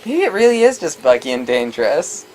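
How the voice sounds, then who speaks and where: A woman co-hosting a let's play, reading game dialogue aloud in a casual, animated way. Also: sits low, fairly quick, a little hiss or hum.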